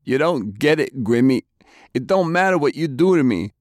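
A man voicing a defiant but frightened captured thug with rough, uneducated American speech. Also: mid-range voice, animated, unhurried, no echo, studio quality, voice pushed up, natural voice. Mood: tearful, fanatical.

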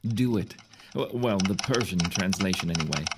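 An actor playing a senior general who speaks with calm, dry authority. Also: male voice, deep voice, normal speed, heavy background noise, natural voice.

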